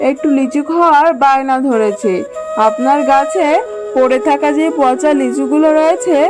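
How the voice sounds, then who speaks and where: Woman voicing a high-pitched gentle jackal mother. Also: moderate pace, over music, close to an everyday voice, rough recording. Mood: meek, pleading. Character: poor, gentle, obedient mother jackal, a hardworking maid. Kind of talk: children's cartoon dubbing.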